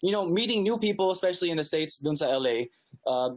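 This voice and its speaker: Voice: medium pitch, expressive, fast talker. An interviewer, chatting casually and hyping up the guest with slangy praise. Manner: enthusiastic, hypes the guest, slangy.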